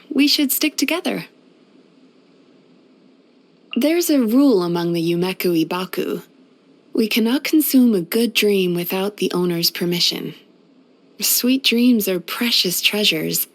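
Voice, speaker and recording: Casting: actress as ageless female dream spirit and healer for a fantasy game; soothing, courteous, caring counsellor manner, mid-pitched. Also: natural voice. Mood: thoughtful, sincere. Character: gentle, caring centuries-old female dream-eating spirit therapist. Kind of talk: video game character voice lines.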